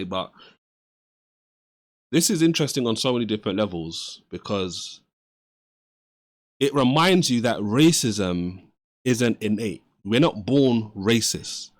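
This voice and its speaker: Low-voiced guy reacting to a clip, earnest, preachy, dry sound. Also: no room sound. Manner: earnest, moralizing, measured. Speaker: reaction commentator. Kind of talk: reflective reaction commentary.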